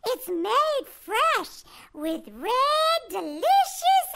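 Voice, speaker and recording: A chirpy fairy, with a squeaky, sing-song delivery. Her voice sits extremely high and swoops widely. She talks slowly, and there is noise behind her. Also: big pitch swings, creaky, fairly bright tone, heavily acted. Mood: cheerful. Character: chirpy female fairy cooking-show guest. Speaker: show guest. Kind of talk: comic radio play acting. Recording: noisy background.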